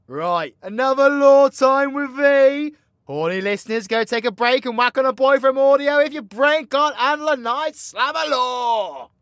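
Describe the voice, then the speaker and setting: A man's voice: very high-pitched, lively intonation, unhurried, fairly bright tone, no room sound, heavily acted. A man playing a cocky, foul-mouthed, playfully teasing supervillain mentor in an audio roleplay, with a British accent and a slangy, swaggering, theatrical delivery. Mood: theatrical, mocking. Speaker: voice actor. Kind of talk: villain ASMR audio roleplay, addressing the listener.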